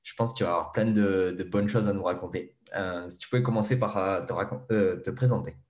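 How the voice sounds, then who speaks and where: A man's voice: deep voice, fairly even intonation, fairly quick, a little mellow. A man hosting a podcast in a casual, hesitant way with fillers, introducing and interviewing a guest. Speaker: podcast host.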